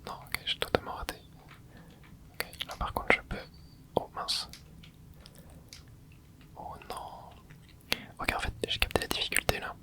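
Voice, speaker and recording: A man hosting an ASMR channel, whispering soft, casual commentary to himself as he plays a video game, full of gamer slang. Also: a little slow, pauses often. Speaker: ASMR gaming channel host.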